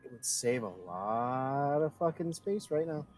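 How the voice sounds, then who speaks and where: A man's voice: medium pitch, lively intonation, measured pace, darkish tone. A man chatting casually as a player on a tabletop game livestream. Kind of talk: casual chat. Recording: music behind the voice. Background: background music.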